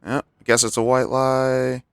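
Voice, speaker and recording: A man's voice: medium pitch, flat delivery, a little slow, clear, resonant tone, no echo. A man doing casual, reactive gameplay commentary as a streamer, joking and slangy. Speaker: game streamer.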